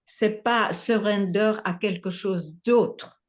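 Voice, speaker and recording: A woman's voice: medium pitch, takes it slowly, dull audio. A woman spiritual teacher answering questions in a satsang in short, spare phrases. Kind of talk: satsang dialogue.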